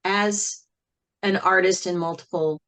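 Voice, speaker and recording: A woman with a slightly low voice answers as an interview guest. She speaks very slowly and reflectively, weighing her phrases and leaving frequent pauses as she goes. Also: steady tone, fairly bright tone. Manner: measured, thoughtful, with frequent pauses. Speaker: interview guest, singer and writer.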